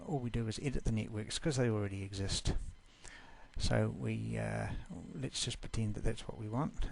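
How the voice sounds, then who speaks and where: Gravelly-voiced New Zealand man presenting. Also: on the low side, darkish tone. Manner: easygoing, informal, down-to-earth. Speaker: presenter of a software class. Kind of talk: casual screencast walkthrough.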